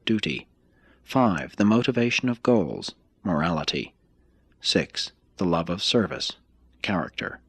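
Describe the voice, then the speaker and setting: Expressive low-voiced man reading aloud. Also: slightly rough, no echo. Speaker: reader of a spiritual text. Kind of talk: scripted audiobook-style reading.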